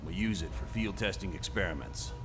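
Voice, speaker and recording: An actor playing a stern, curt colonel who gives mission briefings in a video game cutscene. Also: male voice, sits low, lively intonation, a little fast, over music. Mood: stern, businesslike. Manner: curt, authoritative. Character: stern, terse male colonel running a covert agency. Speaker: military intelligence commander giving briefings.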